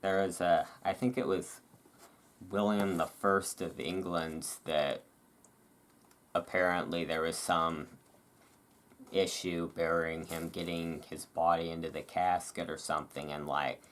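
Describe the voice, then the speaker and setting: A host doing a relaxed ASMR-style reading aloud, breaking off into informal, musing asides. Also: low-pitched, measured pace. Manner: informal, chatty, musing asides between read passages.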